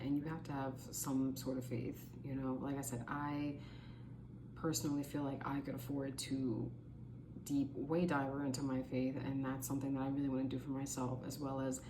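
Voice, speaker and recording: Low-voiced woman in her twenties, chatty. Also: noisy background. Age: 20s. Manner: chatty and earnest, many fillers like "like" and "you know". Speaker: vlogger. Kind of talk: casual motivational talk to camera.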